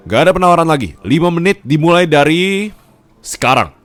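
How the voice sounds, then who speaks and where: A man's voice: a little high, animated, brisk, fairly bright tone, dry recording. A man playing a curt, sarcastic young office boss in an ASMR roleplay drama. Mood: irritated, sarcastic. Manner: haughty, scolding, insulting.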